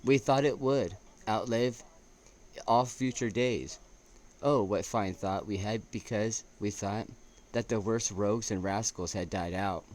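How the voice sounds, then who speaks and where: A man reading poetry aloud as an amateur, in a halting way with stumbles and restarts. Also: fairly low pitch, darkish tone. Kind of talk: poetry recitation with casual asides. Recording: noticeable background noise.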